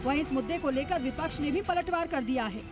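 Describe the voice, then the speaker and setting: High-pitched woman narrating a news report. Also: lively intonation, a little fast. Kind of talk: scripted news voice-over. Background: music under the voice.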